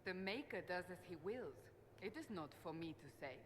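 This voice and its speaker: A woman's voice: lowish voice, lively intonation, a little fast, close to an everyday voice. A woman acting a stern warrior in a reverberant hall. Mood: devout, firm. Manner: firm, commanding. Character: stern, resolute female warrior Seeker, commanding and devout. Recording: long hall reverb, noisy game audio.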